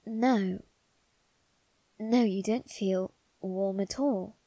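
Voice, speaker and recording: A woman voicing a doting, secretive young male vampire aristocrat in a soft, intimate ASMR roleplay, with refined, coaxing phrasing. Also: mid-range voice, no echo. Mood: gently soothing. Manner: coaxing, gentle, unhurried, refined phrasing with tag questions. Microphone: close, dry microphone.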